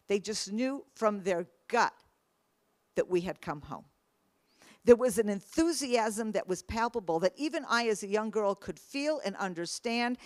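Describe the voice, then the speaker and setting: A woman's voice: medium pitch, dry recording. A middle-aged woman whose first language is English, telling her personal story in an earnest, emphatic way and lifting her voice on questions. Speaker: speaker. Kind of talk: personal testimony talk.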